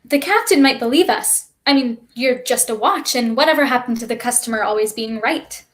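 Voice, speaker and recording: A woman playing a defiant, quick-witted child spacewalker in a theatrical script reading, flustered yet argumentative. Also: a little high, close to an everyday voice. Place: remote online stream.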